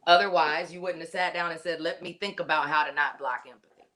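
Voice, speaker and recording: A woman's voice: low-pitched, fairly even intonation, a little fast, a bit bright, slight background noise. A woman therapist explaining in an earnest, casual way, with many "you know"s. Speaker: therapist guest.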